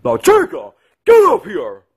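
A man's voice: very high-pitched, huge pitch swings, unhurried, a little mellow, slightly rough, pitched above the performer's own voice, full character voice. A man playing an exasperated, nagging household disciplinarian in an amateur improvised comedy skit, repeating himself as he scolds. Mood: angry, exasperated.

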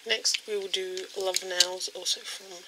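A young woman with a croaky voice casually showing nail polish at her desk at home, rambling with lots of ums. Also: mid-range voice, flat delivery, measured pace. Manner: chatty, rambling with many ums. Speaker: beauty vlogger. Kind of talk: casual product demo. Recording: home recording with clear background noise.

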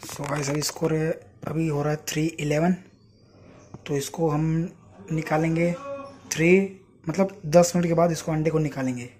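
A man's voice: fairly high pitch, flat delivery, moderate pace, a little mellow. A young adult man chatting casually and informally to his viewers, as a vlogger talking into a phone. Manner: informal, addresses viewers as friends. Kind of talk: casual vlog chat to camera. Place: in and around a home, indoors and outdoors. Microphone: phone at arm's length. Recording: noisy handheld phone recording.

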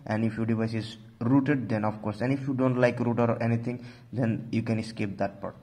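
A man's voice: mid-range voice, fairly even intonation, fairly quick, darkish tone. Man narrating an informal tech tutorial.

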